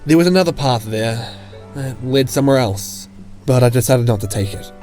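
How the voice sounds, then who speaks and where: A man's voice: medium pitch, moderate pace, mellow, soft background music, close to an everyday voice. A man voicing a hesitant, brooding teenage boy. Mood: somber. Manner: hesitant, stammering. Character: gloomy teen boy, wandering a forest, brooding. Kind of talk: fan comic dub acting.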